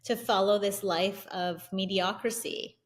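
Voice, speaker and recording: A woman whose first language is Italian, telling her investing story as an interview guest in an energetic, fast, filler-heavy way. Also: mid-range voice. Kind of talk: casual interview conversation.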